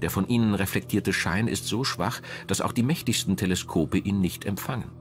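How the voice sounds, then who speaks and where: A man reading scripted documentary narration in a measured, dramatic manner. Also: low voice. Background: music.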